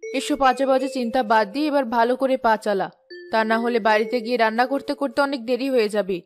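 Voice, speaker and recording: Lady voicing a principled cartoon village wife, fairly high voice, earnest and firm, music in background. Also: fast talker, natural voice. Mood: admonishing. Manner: admonishing, earnest. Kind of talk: cartoon dialogue.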